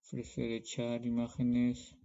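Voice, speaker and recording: A man's voice: medium pitch, completely flat delivery, slow pace, creaky, dark tone. A man preaches in a didactic way, reading Bible verses and explaining them, often checking in with short tags. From Peru. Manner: didactic, punctuated with check-in tags like "okay" and "right". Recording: dull, lo-fi sound.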